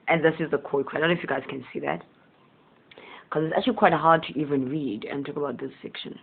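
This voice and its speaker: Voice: mid-range voice, fast talker, gravelly, a little hiss or hum. Host, tinny phone-like sound. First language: Southern Sesotho. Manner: rambling, chuckling often, gentle and earnest. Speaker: host of a motivational channel. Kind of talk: casual spiritual monologue.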